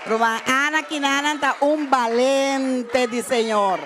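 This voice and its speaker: A woman leading a church service, speaking in short fervent phrases and pausing for her interpreter. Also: sits high, steady tone, over music. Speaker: pastor leading a testimony service.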